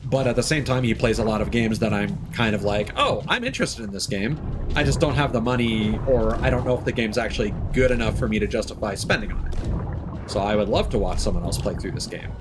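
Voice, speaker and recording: A man's voice: lowish voice, fairly quick, over music. A man live streaming a game, casually chatting and thinking aloud in a reflective, rambling way. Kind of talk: casual chat with gameplay commentary.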